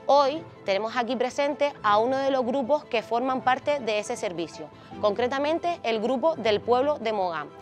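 A young woman with a Canary Islands Spanish accent presenting a community program to camera in a measured, scripted way on a dark theater stage. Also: a little high. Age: young adult. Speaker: social program facilitator presenting.

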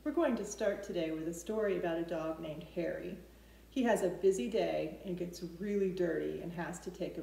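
A woman's voice: on the low side, creaky, warm tone. A woman in her forties hosting a storytime for preschoolers, explaining things simply and asking the children questions. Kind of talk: speaking to preschoolers, instructional. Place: living room with open kitchen at home. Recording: roomy echo, noticeable background noise.

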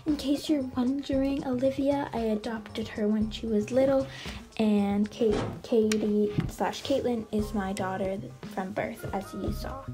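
A woman narrates an improvised role-play in a fairly high voice, speaking as a mother character. She is chatty and play-acts as she goes. Music plays clearly behind her. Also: a little slow, low-quality recording. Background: background music.

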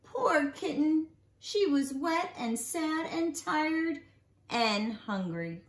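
A woman in her forties reads a children's picture book aloud in an expressive way, drawing out key words for young listeners. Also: high voice. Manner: animated storytelling for young children, drawn-out emphasized words. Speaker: nursery school teacher reading bedtime stories. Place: small living room at home, indoors. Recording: roomy home recording.

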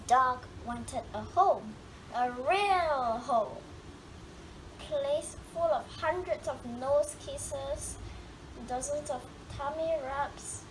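High-voiced woman reading a storybook slowly. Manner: drawn-out words with dramatic emphasis. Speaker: storybook reader. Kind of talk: expressive read-aloud of a children's picture book. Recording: clearly noisy home recording.

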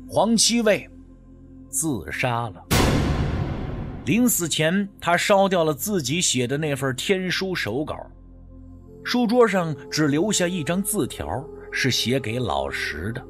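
Man, higher-pitched voice, dramatic audiobook narration, very varied intonation, faint music underneath. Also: sing-song, takes it slowly, a bit bright. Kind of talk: dramatic scripted suspense narration.

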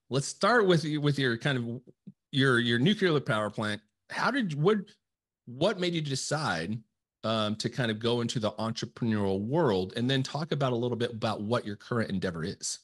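A man's voice: medium pitch, fairly bright tone. A man hosting a podcast, asking questions in a casual, stumbling way, with a headset and mic in a room with bookshelves. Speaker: podcast host. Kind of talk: interview conversation. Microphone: headset with studio mic.

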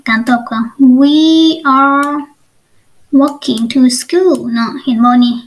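A woman's voice: high-pitched, drawn-out words, rough recording. A woman with a Lao accent teaching English in an online lesson, explaining step by step and often asking check questions.

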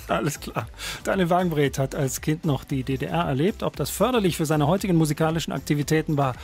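Male radio host, fairly high voice, announcer delivery, questions and teasers, faint music under. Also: fairly quick, darkish tone. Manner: smooth announcer, leads the talk with questions and teasers. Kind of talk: radio show hosting.

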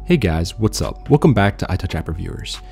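A man's voice: deep voice, sing-song, a little fast, gravelly, over music. A man giving casual, laid-back tech news commentary in an informal, slangy way.

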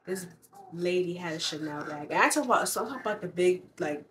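A woman's voice: lowish voice, expressive, brisk. A young woman, congested from covid, chatting bluntly and swearing freely in a casual vlog. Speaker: vlogger.

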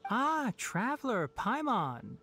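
A serious young ranger scholar. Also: male voice, very high-pitched, wildly swooping pitch, heavy background noise, heavily acted. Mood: measured. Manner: measured, earnest, firm. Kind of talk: video game voice acting. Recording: game audio with soft music behind.

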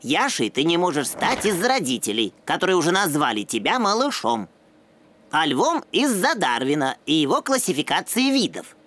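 A man's voice: on the high side, sing-song, normal speed, fairly bright tone, a little hiss or hum. A man voicing a pedantic, bookish teenage boy dog in a children's cartoon, lecturing in precise, superior phrases. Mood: pedantic, absorbed.

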